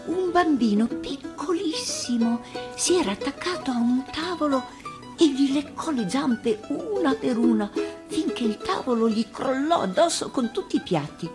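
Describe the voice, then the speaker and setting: A woman reading a children's fairy tale for radio as an actress, with measured, theatrical phrasing. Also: sits high, animated, music in the background. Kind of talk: expressive children's storytelling for radio.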